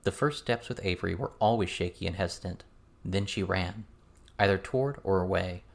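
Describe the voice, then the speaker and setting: A man's voice: low voice, fairly quick, vocal fry. A man reading scripted fiction as an audiobook narrator.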